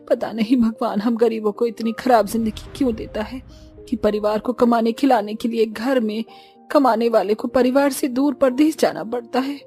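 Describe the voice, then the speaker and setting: A woman's voice: fairly high pitch, fairly even intonation, moderate pace, mellow, faint music behind, close to an everyday voice. A woman voicing a worried, caring but scolding village mother-in-law in a cartoon story, plaintive and admonishing. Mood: tearful, sad.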